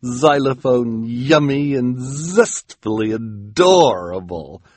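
Man putting on a slow, gushing villainous woman. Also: medium pitch, no room sound, voice pushed up. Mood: gushing, syrupy. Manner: drawn-out, affected, flattering delivery. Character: vain, gushing villainous woman in glamorous dress.

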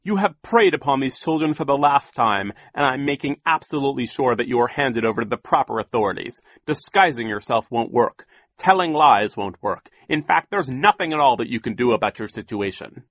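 Man as a stern, pompous, forceful gentleman. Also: mid-range voice, big pitch swings, normal speed, dry recording, pitched above the performer's own voice. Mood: stern, indignant. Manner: stern, emphatic, pompous scolding. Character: stern, fussy, indignant gentleman banker in authority. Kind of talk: audiobook character voice.

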